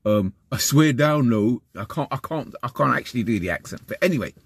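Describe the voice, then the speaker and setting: A man's voice: mid-range voice, brisk, creaky, slightly rough, no echo, studio quality. A man in his fifties with a West London accent, talking casually to camera from inside a van, rambling and restarting his sentences. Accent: West London English. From London, England. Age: 50s. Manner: rambling, repeats and restarts, animated gestures. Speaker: vlogger. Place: inside a parked van, small enclosed space. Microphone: camera close in front of face.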